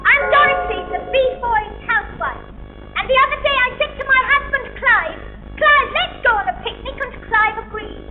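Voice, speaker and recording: Fussy british comedy housewife, really shrill high pitch, gushing, music behind, thin tinny sound. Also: wildly swooping pitch, moderate pace, gravelly, reverberant, full character voice. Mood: bright, sing-song. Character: fussy, vain housewife turned advert celebrity. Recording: tinny band-limited audio with music.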